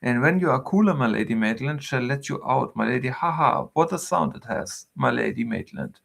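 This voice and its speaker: A man's voice: medium pitch, expressive, moderate pace, creaky. A man voicing a gloating old male clockmaker villain who mutters and chuckles with menace.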